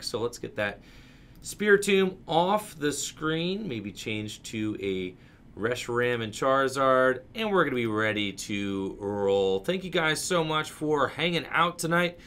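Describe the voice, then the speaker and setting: A man with a raspy voice giving upbeat live commentary on a card game tournament and hosting a stream. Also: medium pitch, sharp tone. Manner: upbeat, hyped streamer energy. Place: small game store studio.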